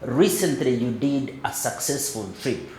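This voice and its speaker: A man hosting a podcast interview, gently prompting his guest and murmuring "mm" along as he listens. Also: mid-range voice, animated, measured pace, reverberant, a little hiss or hum. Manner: encouraging, frequent backchannel "mm", gentle prompting. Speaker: podcast host.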